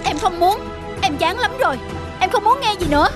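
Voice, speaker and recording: A woman voicing an earnest, easily upset young married daughter-in-law in an animated moral tale. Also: very high-pitched, brisk. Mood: upset, fed up. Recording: background music under the voice.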